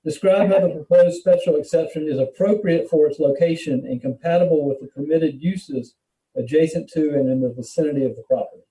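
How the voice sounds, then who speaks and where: Very muffled, higher-pitched man chairing a hearing. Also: brisk, dark tone. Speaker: board chair running a hearing. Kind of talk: formal questioning at a public meeting. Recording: dull echoey room, distant mic.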